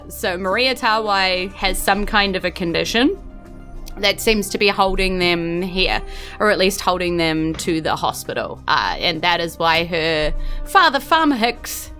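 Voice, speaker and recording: Bright, unhurried New Zealand woman game master. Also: on the low side. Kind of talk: narrating a tabletop game, casual banter.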